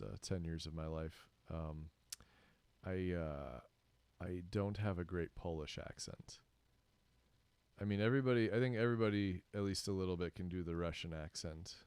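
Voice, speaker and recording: A man chatting casually in a Q&A, halting often and laughing between phrases. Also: low-pitched, a little mellow, clean tone, no echo. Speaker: podcast cast member. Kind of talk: casual Q&A chat.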